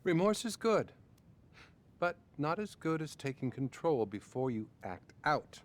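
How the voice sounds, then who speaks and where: A man's voice: a little high, big pitch swings. Patronizing, lilting male therapist. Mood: judgmental, patronizing. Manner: measured, condescending, feigned concern. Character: smooth, patronizing, money-minded male therapist. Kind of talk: video game cutscene acting.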